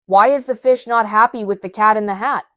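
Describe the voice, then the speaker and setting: A woman reading a storybook aloud to children in an animated storytelling style. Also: mid-range voice, fairly quick.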